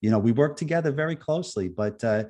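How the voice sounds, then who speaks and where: A middle-aged man with a thick Long Island accent tells a personal story in a halting, earnest way. His voice sits in a middle pitch, and he keeps restarting phrases and filling gaps with "uh" and "um". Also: lively intonation, darkish tone. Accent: Long Island New York. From Long Island, New York. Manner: halting, many restarts and fillers, laughs lightly. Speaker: guest speaker, near-death experiencer.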